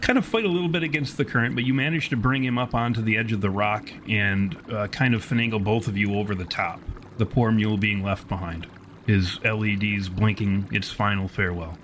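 A man's voice: fairly low pitch, brisk, a bit bright, a little hiss or hum. A man improvising narration as game master of a tabletop roleplaying game, with wordy, storyteller phrasing.

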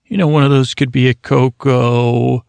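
A man's voice: medium pitch, steady tone, moderate pace, creaky, a little mellow, smooth, no echo. A man hosting a sleep podcast, talking in a meandering, self-deprecating monologue full of restarts and asides. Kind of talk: rambling monologue for falling asleep.

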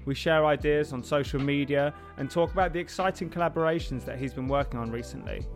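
A man's voice: fairly high pitch, fast talker, soft background music. A man hosting a podcast, reading a scripted welcome and then asking friendly, inquisitive questions. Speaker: podcast host. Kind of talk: scripted intro, then casual interview questions.

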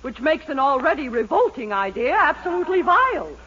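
A woman's voice: high voice, very animated, brisk. An American woman playing a tart, easily appalled middle-aged wife in a 1940s radio sitcom, haughty and exclamatory. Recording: muffled noisy 1940s radio recording.

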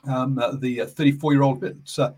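A man with a New Zealand accent hosting a casual live rugby chat, calm and halting, filling pauses with ums and uhs. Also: mid-range voice, husky. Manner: calm, soft-spoken. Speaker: rugby podcast host. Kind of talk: casual live-stream commentary.

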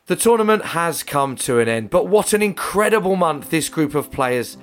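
Croaky english guy hosting a podcast, kinda high voice, dramatic scripted recap, faint music behind. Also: animated, normal speed. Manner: dramatic, rhyming recap delivery. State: slightly croaky voice. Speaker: podcast host.

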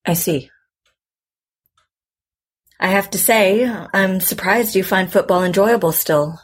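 A woman playing a composed, probing psychotherapist in an audio drama, measured and clinical in her questioning. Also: medium pitch, husky. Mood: calm, probing. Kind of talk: naturalistic audio drama acting.

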